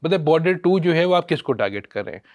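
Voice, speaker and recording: A Pakistani man with a somewhat high, highly animated voice holds forth as a film commentator. He talks conversationally but with emphasis, throwing out rhetorical questions. A sarcastic edge runs through his opinions. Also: fast. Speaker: podcast guest, film critic.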